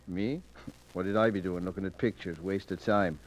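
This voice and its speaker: A man's voice: deep voice, steady tone, moderate pace, natural voice. An actor playing a plain-spoken, folksy gallery doorman in 1940s radio drama style. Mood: wry, gossipy. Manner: folksy, matter-of-fact. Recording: noisy 1940s radio recording.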